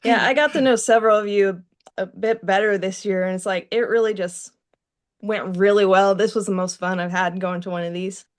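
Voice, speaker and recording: A woman's voice: mid-range voice, fairly even intonation, a little fast. A woman chatting casually and informally as a podcast guest, dropping "like" into her sentences. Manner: informal, frequent use of "like". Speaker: podcast guest, radio play cast member. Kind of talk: casual chat.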